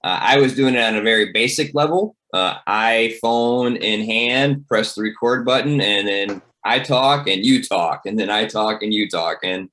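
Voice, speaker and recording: Lowish guy voice, really bright and punchy, telling a casual story on a call. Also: moderate pace, few pauses. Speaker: podcaster. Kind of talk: casual anecdotal talk. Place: online video call.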